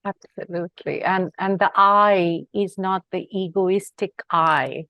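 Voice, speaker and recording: A woman's voice: fairly low pitch, steady tone, no echo. A woman from India hosting a talk show, chatting casually and agreeing readily with her guest. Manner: warm and affirming, quick to agree.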